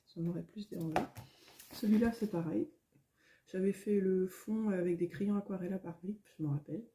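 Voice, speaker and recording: A middle-aged woman, a little hoarse, chatting casually at home in a vlog, rambling with many fillers and restarts. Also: fairly low pitch, noisy background. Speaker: coloring vlogger. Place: at home, indoors.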